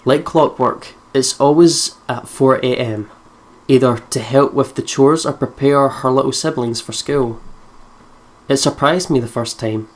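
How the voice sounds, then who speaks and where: A woman's voice: a bass voice, unhurried. A Scottish woman hosting a let's play, chatting loosely and swearing as she goes. She laughs a lot and reads the game's text aloud in between her comments. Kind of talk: casual gameplay commentary and reading aloud.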